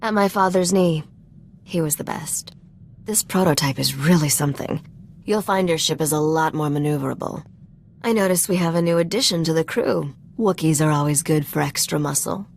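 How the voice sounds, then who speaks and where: Low-voiced, wry treasure hunter. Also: female voice, normal speed, gravelly, a little mellow, slight background noise. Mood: wry, confident. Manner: dry, teasing, self-assured. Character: sly, wry female treasure hunter and ship mechanic. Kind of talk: video game cutscene acting.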